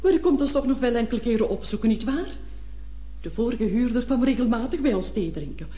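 A woman's voice: on the high side, expressive, fast talker, mellow, lightly acted. A woman playing a cordial, chatty, genteel older mother in a radio play, gushing as she hosts. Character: cordial, chatty old lady, eager hostess mother. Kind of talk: Dutch-language radio play acting.

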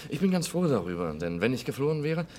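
Actor as nonchalant man at a bar, mid-pitched. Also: big pitch swings, quick pace, a little mellow, natural voice. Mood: casual, musing. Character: nonchalant, shifty male airport bar patron. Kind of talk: 1950s German radio crime play acting.

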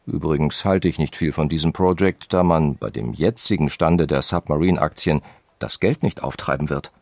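Reads a period letter, very low, gravelly, dry close sound. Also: male voice, normal speed, darkish tone, dry recording, full character voice. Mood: skeptical. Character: man reading a brother's letter aloud. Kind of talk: dramatized letter reading.

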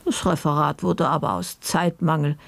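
Creaky, dry woman narrator. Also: sits low, normal speed, no echo. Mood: disapproving. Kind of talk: radio play narration.

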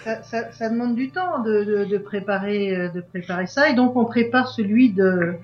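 A woman talks casually in an interview, pausing and restarting as she looks for words. The recording is echoey and dull, with faint music underneath. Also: mid-range voice. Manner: halting, searches for words. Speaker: interviewee, club event organiser.

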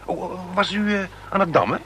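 A man's voice: high voice, animated, moderate pace, bright, forceful tone, slight background noise. An actor playing a polite, hesitant young male visitor in a radio play, agreeable and mildly amazed. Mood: sheepish. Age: young adult. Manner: hesitant, agreeable, brief replies. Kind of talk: Dutch radio play dialogue.

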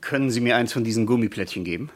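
An actor playing an ironic, courteous travelling companion in a radio drama, with a polite, wry way of talking. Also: male voice, mid-range voice, rapid-fire, natural voice. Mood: brisk, amused.

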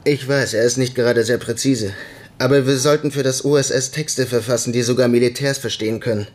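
A brisk army lieutenant in a radio play, performed by a man. He talks fast in a medium-pitched male voice, clipped and matter-of-fact. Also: steady tone, close to an everyday voice. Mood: businesslike. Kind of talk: radio drama dialogue.

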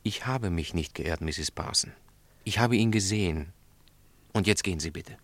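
An actor playing a stubborn, upright snack-bar owner in a German radio drama, speaking in a firm, curt, defensive way. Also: male voice, low-pitched, normal speed, fairly bright tone, no room sound.

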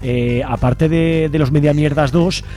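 A man's voice: fairly high pitch, fairly even intonation, unhurried, warm tone. A man with an accent from Spain, hosting a casual radio interview. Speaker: radio host.

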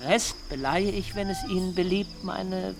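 An actor playing an earnest, dreamy idealist settler in a radio drama, formal and hesitant in manner. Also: male voice, high-pitched, a little slow, gravelly, noisy background. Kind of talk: German radio drama acting.

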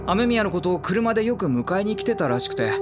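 A man playing a casual, gossipy high-school student in an audio drama. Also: very animated, brisk, music in the background. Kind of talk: Japanese drama CD acting.

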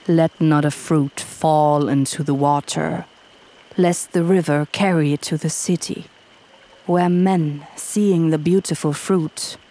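An actress playing a gentle young woman reading a fable aloud in a radio drama, in an unhurried storytelling manner. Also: low-pitched, slight background noise.

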